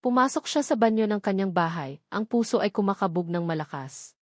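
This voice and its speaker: A woman's voice: medium pitch, lively intonation, fairly quick, darkish tone, dry recording, clean studio sound. A woman with a Filipino accent reads a scripted romance story as its narrator, in a dramatic storytelling manner.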